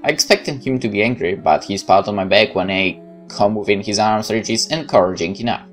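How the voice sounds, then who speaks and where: A man with a Polish accent hosts a game playthrough in a fairly low voice. He reads the story text aloud with some drama, stumbles now and then, and slips into casual asides. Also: a little fast, soft background music. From Poland. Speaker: let's play host.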